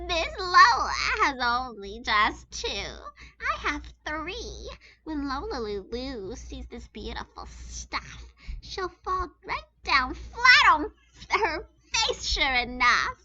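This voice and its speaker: A woman voicing a jealous young girl bird in a storybook, squealing and wailing in a very high voice. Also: big pitch swings, slow pace, sharp tone, muffled recording, exaggerated voice. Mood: giddy. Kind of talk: storybook read-aloud.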